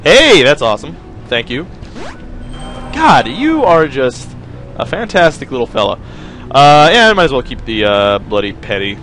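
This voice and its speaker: A man's voice: mid-range voice, big pitch swings, moderate pace, long pauses, fairly bright tone. A young man giving casual, rambling commentary over a video game, joking and talking to his viewers. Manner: rambling, joking, self-mocking, talks to viewers. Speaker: gaming video host. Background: faint game music.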